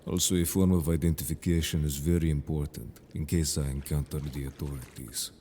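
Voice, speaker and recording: A man voicing a stern, protective merfolk guard in an audio drama, speaking in a measured, formal, serious way. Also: rumbling low, fairly even intonation, dark tone, slight background noise. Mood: matter-of-fact, formal. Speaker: voice actor in an audio roleplay. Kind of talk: scripted audio drama roleplay.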